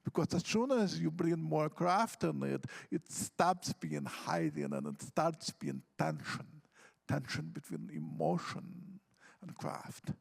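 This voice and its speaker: Guy, really gravelly fry, kinda high pitched, talking poetry craft with lots of examples. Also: very animated, takes it slowly. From Ukraine. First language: Russian. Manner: earnest, explains with examples and questions to himself. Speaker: poet on a panel. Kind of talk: reflective talk on poetry craft. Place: conference hall stage.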